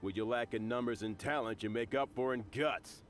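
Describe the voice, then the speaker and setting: A man's voice: mid-range voice, fairly quick, faint music behind, lightly acted. An actor playing a cocky, bullying male thug who mocks and sneers at his opponents. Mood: mocking. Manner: mocking, sneering, laughs at others. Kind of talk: video game character dialogue.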